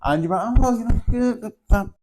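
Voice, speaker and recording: Fast-talking male teacher. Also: very high-pitched, slight background noise. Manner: chatty, animated, tags lines with "okay?". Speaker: maths teacher. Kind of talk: casual teaching advice to other teachers.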